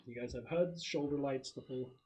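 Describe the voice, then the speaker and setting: A man running a tabletop game as game master, narrating casually in a halting, conversational way. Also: mid-range voice, a crowd in the background. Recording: noisy room recording with clicks.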